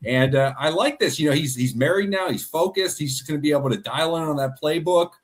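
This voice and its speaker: A man's voice: on the high side, brisk. A man co-hosting a casual sports podcast, playful and full of hesitant restarts. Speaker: podcast co-host.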